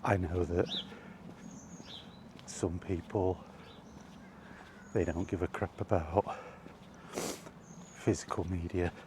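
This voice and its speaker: A man giving a casual, halting opinion monologue to a vlog audience, rambling along in short phrases while out walking. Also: low-pitched, steady tone, background noise. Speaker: video blogger. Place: outdoors, walking.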